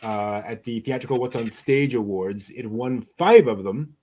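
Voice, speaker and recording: A man hosting an anime news show, chatting casually through items with a somewhat halting delivery. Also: mid-range voice, dark tone.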